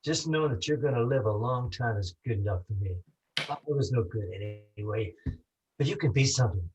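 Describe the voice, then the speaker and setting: A man's voice: medium pitch, brisk, background noise, natural voice. A man playing a condemned, lovestruck small-time crook with slangy tough-guy talk in a noir radio play. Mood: fond, resigned. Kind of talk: 1940s noir radio acting, live community theatre.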